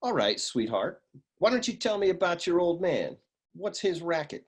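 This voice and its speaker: A hardboiled private eye from a comic radio serial, acted by a man, slinging slang with a straight face. The voice is distinctly husky and swings widely in pitch, with the cocky patter of a noir wisecracker. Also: moderate pace, heavily acted. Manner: deadpan, slangy tough-guy delivery.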